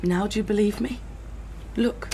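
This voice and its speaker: A woman's voice: mid-range voice, fairly even intonation, moderate pace, mellow, lightly acted. A woman playing a blunt, sardonic and bitter partner in a naturalistic radio drama. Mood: grave, distressed. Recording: noisy ambient radio drama soundscape.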